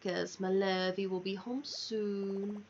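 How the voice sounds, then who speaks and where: Casting: female game streamer, mid pitch, casual thinking-aloud mutter, muffled lo-fi recording with noise behind. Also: little pitch movement, slow pace, darkish tone. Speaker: livestreamer. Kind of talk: casual chat while playing a video game. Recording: dull lo-fi mic, clear background noise.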